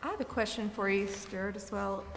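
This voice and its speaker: A woman with a medium-pitched voice puts informal questions at a public meeting, rewording them as she goes. The hall adds long reverb, with faint noise underneath. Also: steady tone, normal speed, vocal fry, a bit bright. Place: indoor council chamber.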